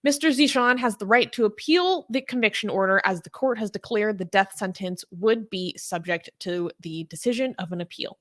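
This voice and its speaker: A woman co-hosting a casual news discussion, chatty with many fillers, reading stories aloud and reacting with animated commentary. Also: normal speed, hardly any pauses. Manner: animated, rises in pitch when outraged.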